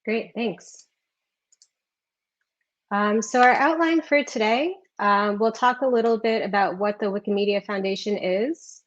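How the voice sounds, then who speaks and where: A woman gives a friendly presentation on a video call, dotted with ums. Her voice sits at a middle pitch, and the lo-fi call audio dulls it. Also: a little slow. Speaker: research community officer. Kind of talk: presentation talk.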